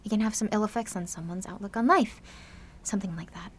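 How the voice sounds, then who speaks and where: A woman's voice: mid-range voice, fast, a little hiss or hum, lightly acted. A woman playing an anxious, guilt-ridden seventeen-year-old girl with superpowers in an audio drama, speaking in a confessional, sardonic, conversational way. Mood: somber, guilty.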